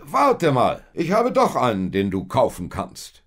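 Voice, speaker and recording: A man voicing a rich, proud, hard-bargaining dealer in a storybook tale, haughty, loud and drawn-out. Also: medium pitch, huge pitch swings, voice pushed up. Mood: calculating. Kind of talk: storybook character voice in narrated tale.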